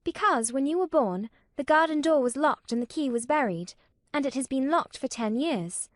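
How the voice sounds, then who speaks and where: A woman's voice: sits high, lively intonation, normal speed, no room sound, studio quality, pitched above the performer's own voice. A woman voicing a curious, nervous ten-year-old girl who answers carefully and hesitantly. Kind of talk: audiobook character voice.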